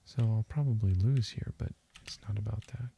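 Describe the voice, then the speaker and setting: Low, dark-voiced man, slow and hushed. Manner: hushed and breathy, drifting into whisper; calm, deliberate delivery. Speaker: gaming video host. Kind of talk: slow, soothing sleep-meditation game commentary. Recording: faint hiss with keyboard and mouse clicks. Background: keyboard typing and mouse clicking.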